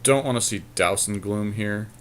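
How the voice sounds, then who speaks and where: A man giving casual commentary on a card game as he plays, thinking aloud in an unhurried way. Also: fairly low pitch, a bit bright, slight background noise. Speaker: podcast host and player.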